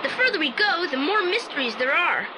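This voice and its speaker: An excitable, wary boy sleuth in a vintage audio play. His voice is high and very animated, with a blunt, colloquial, grumbling way of talking and slight background noise. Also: fairly quick. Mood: keen. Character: excitable, wary teenage boy sidekick detective. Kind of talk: 1980s audio drama acting. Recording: slightly noisy old audio play transfer.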